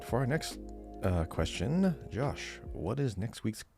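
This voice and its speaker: A man's voice: on the low side, very animated, slow pace, creaky, music in the background. A man co-hosting a podcast in casual, chatty style, reading out listener answers and adding his own short asides. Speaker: podcast co-host.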